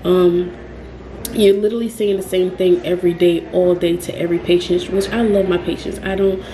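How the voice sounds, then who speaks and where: Low, flat-voiced young woman vlogging. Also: moderate pace, reverberant, low-quality recording. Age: young adult. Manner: rambling, frequent ums and self-corrections. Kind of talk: casual talk to camera.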